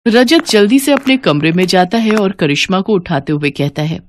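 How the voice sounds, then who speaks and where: A woman's voice: mid-range voice, lively intonation, normal speed, a little hiss or hum. A woman narrating a scripted story as a storyteller.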